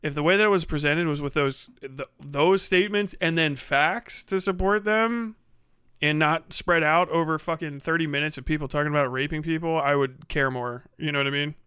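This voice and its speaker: Male streamer, slightly high bright voice, loose sweary chat with viewers. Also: normal speed, smooth, no room sound, studio quality. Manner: profane, slangy. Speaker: livestream host. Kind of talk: casual livestream chat, reading and answering viewer comments.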